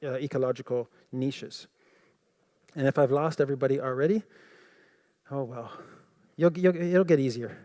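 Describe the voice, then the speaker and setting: A man's voice: mid-range voice, moderate pace, pauses often, dark tone. A man whose first language is French, lecturing and explaining with rhetorical questions, in a played clip. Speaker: creationist speaker in a played clip. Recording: hall reverb.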